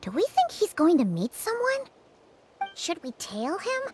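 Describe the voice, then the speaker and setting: A woman voicing a small, excitable, childlike fairy companion in a video game, chirpy and curious. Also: measured pace, background noise, exaggerated voice. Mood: curious, puzzled. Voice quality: squeaky, childlike. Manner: chirpy, exclamatory.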